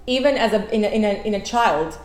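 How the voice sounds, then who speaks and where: A woman's voice: medium pitch, lively intonation, fast. Rambling woman interviewer, echoey room. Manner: long rambling questions with many restarts. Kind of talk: interview questions. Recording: echoey room with faint noise.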